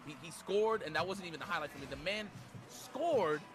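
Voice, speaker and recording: Animated New York man commentating. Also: high-pitched, fairly quick. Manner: energetic, animated, repeats words, slangy. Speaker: stream host and co-commentator. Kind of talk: excited casual commentary.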